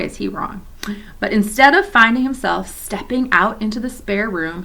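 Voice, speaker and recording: A woman reads a children's novel aloud in a gravelly, mid-pitched voice. She stops now and then for gentle, chatty asides to her young listeners. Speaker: children's story reader at a church.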